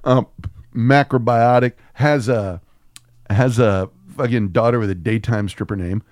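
A man's voice: mid-range voice, measured pace, darkish tone, no room sound, studio quality. A man chatting casually as a podcast co-host, rambling through stories with plenty of swearing. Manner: crude, swearing, rambling storytelling. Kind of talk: casual chat about a film.

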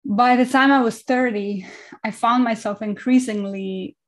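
A woman's voice: a little high, steady tone, unhurried. A woman in her thirties, from Kazakhstan and raised in Estonia, speaking reflectively as a podcast guest over a video call. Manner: reflective, conversational, with frequent fillers. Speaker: podcast guest, travel photographer.

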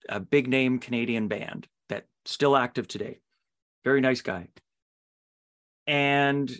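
Middle-aged man with a mid-pitched voice. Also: fairly bright tone. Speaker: podcast host, interviewer. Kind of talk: conversational interviewing.

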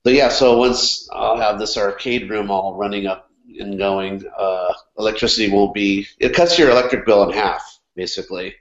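A man's voice: fairly low pitch, moderate pace. A man with a cold-stuffed, nasal voice, chatting casually as a podcast co-host and rambling with lots of fillers and restarts. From California. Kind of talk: casual chat. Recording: echoey room, dull lo-fi sound.

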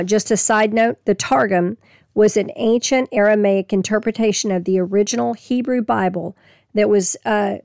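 Woman teaching Bible, gravelly voice. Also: medium pitch, darkish tone, no room sound, clean studio sound. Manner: folksy, reads scripture slowly then explains plainly. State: losing her voice. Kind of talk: casual Bible teaching with scripture reading.

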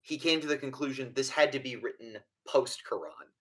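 A man runs a tabletop game as its keeper, walking the players through background in an explanatory tone. He often stops on fillers, and his voice sits at a middle pitch. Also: fairly quick, a bit bright, breathy edge. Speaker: game master. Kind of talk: narrating a tabletop horror game.

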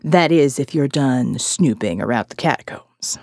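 Gruff survivalist leader, male played by a woman: low register, animated delivery, cynical, profane, blunt, audio-fiction monologue. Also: moderate pace, dry recording, studio quality, heavily acted. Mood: sardonic. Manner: blunt, profane, world-weary.

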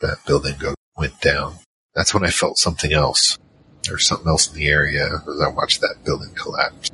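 A man's voice: extremely low pitch, fairly even intonation, normal speed, sharp tone, a little hiss or hum, exaggerated voice, rough recording. A man playing a battle-worn gunfighter who narrates his own story in an audio drama, terse and world-weary. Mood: somber. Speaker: narrator and lead character. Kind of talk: first-person noir-like audio drama narration. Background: music.

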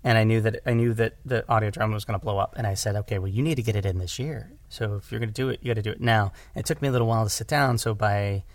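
Man in casual talk, halting delivery. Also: fairly low pitch, steady tone, dry recording. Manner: halting, self-correcting, repeats words. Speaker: audio drama writer-director, podcast guest. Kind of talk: casual conversational interview.

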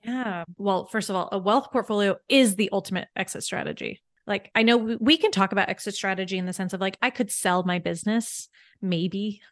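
A woman's voice: mid-range voice, brisk, dry recording, studio quality. A woman financial coach, a podcast guest, who explains things eagerly and step by step with worked examples. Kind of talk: casual podcast interview.

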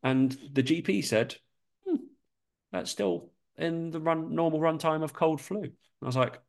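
A man's voice: fairly high pitch, unhurried, gravelly, darkish tone. A man co-hosting a podcast, chatting casually and swearing freely, with a slightly nasal voice from a lingering cold. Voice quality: sweary, laughs often. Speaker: podcast co-host. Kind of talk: casual chat.

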